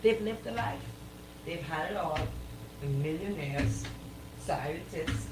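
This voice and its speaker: A woman's voice: low-pitched, animated, slow pace, background noise. A woman co-hosting radio banter, opinionated and emphatic, hammering points home with repeated phrases and rhetorical questions. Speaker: radio show co-host.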